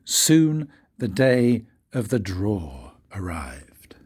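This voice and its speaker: An older man, a grandfather, reading a children's story aloud in a husky, breathy voice with expressive, dramatic pauses. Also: fairly low pitch. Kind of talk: storybook read-aloud narration.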